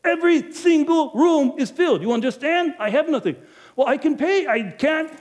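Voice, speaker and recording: A middle-aged innkeeper who counts every coin and still feels the guilt, as a man plays him in a monologue. His voice sits high and jumps about restlessly. He talks with emphatic force, then sinks low. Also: normal speed. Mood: insistent. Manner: animated, emphatic, swings loud to hushed. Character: middle-aged male innkeeper, money-minded and guilt-ridden. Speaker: actor. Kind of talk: dramatic first-person monologue.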